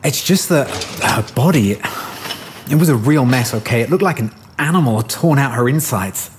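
An actor playing a harried scientist and lab boss in an audio drama, sometimes hesitant and halting. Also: male voice, medium pitch, animated, noisy background, close to an everyday voice. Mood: uneasy, guarded. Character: harried male scientist, lab boss, devoted husband-to-be-father. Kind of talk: full-cast audio drama acting.

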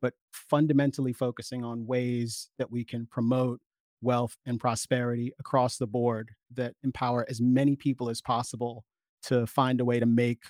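A man speaking as an interview guest, making an explanatory argument in measured, articulate long sentences. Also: medium pitch, flat delivery, a little mellow, no echo, clean studio sound.

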